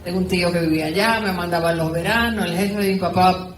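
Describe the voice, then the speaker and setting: A woman's voice: lowish voice, fast. A woman giving an informal spoken reflection as a book club participant. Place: echoey room at a live group gathering. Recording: echoey room, clear background noise.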